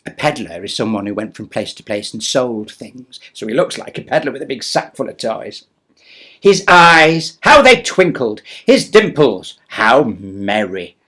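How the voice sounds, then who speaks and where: A man's voice: medium pitch, big pitch swings, a little slow, sharp tone. A man playing a cheeky old pantomime dame, reading a Christmas poem theatrically with playful, chatty delivery. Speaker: storyteller.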